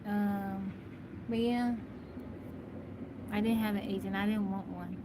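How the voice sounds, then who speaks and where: A woman's voice: medium pitch, fairly even intonation, slow. Chatty woman live-streaming over background noise. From Texas, US. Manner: chatty, greets viewers by name, answers questions. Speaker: livestream host. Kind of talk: casual live-stream chat, reading viewer comments. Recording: noisy live-stream audio.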